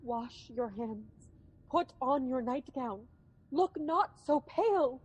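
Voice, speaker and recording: A woman's voice: sits high, lively intonation, slow pace, slightly rough, full character voice, poor audio. A woman playing a guilt-racked, imperious sleepwalking noblewoman in a Shakespearean radio drama, muttering in fragments with sudden outbursts. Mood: haunted, urgent.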